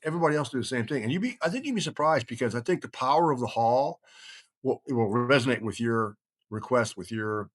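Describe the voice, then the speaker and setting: A man's voice: medium pitch, lively intonation, fast talker. A middle-aged man in a casual, rambling group discussion, speaking in an earnest, blunt, folksy way. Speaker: podcast host.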